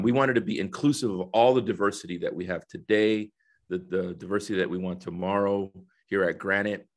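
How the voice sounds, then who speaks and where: A man in his fifties from El Salvador, raised in the United States, giving conversational interview answers in a halting, explanatory way. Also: fairly low pitch. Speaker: guest, corporate diversity executive. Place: indoor office, video call. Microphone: headset on a video call.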